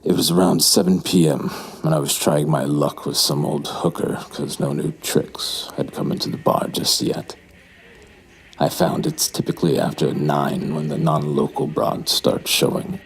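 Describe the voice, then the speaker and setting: A man playing a bitter, menacing psychopath, muttering a brooding audio drama monologue in a gravelly voice. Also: extremely low pitch, slight background noise, poor audio. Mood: brooding, callous. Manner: brooding, slow, muttering to himself. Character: trapped, bitter male psychopath narrating his downfall, menacing.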